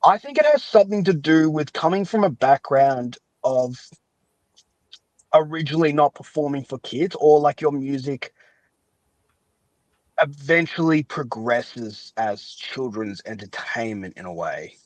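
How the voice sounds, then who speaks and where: A man's voice: mid-range voice, expressive, measured pace, a little mellow, no room sound, low-quality recording. A man chatting casually as a podcast guest, giving his opinions in a rambling way and often qualifying what he says. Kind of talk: casual chat.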